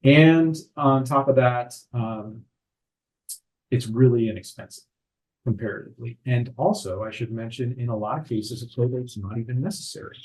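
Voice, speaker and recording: A man, an attorney, explaining legal matters in a calm, measured way during a podcast conversation. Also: mid-range voice, poor audio. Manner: measured, explanatory, frequent "right?" tags. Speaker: estate attorney.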